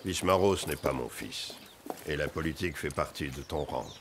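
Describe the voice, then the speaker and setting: An older actor playing a grave, proud old Gaulish nobleman with a gravelly voice, speaking solemnly in an animated docudrama. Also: extremely low pitch, normal speed, noisy background. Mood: reproachful. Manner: solemn, weighty. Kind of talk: dramatic dialogue and reflective narration in animated docudrama.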